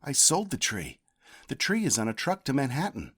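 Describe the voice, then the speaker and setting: A man voicing a resigned, gentle farmer father in a storybook, speaking matter-of-factly. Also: mid-range voice, huge pitch swings, normal speed, breathy edge, full character voice.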